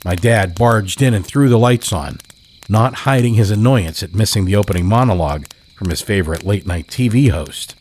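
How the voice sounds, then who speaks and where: A very deep male voice tells a first-person horror tale in a measured, deliberate delivery. Faint night ambience hisses softly behind him. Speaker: podcast host and story narrator. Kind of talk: scripted horror story narration.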